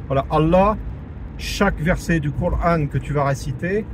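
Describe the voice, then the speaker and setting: A man's voice: fairly high pitch, normal speed. A French man giving an informal spoken religious lesson, talking conversationally with many fillers and restarts and repeating key points for emphasis. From France. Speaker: religious teacher. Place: in a car in traffic. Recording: audible traffic noise from the road.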